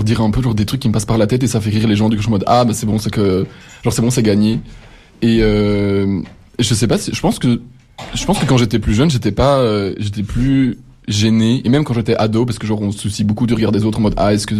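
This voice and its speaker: A young man with a low, husky voice chats in a student radio discussion. He sounds relaxed and slangy, and he tends to ramble. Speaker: workshop participant. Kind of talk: casual chat.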